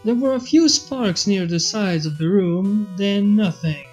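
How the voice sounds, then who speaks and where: A man's voice: high voice, unhurried, darkish tone. A young adult man narrating a fan story as its reader. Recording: dull lo-fi with background music. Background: faint music.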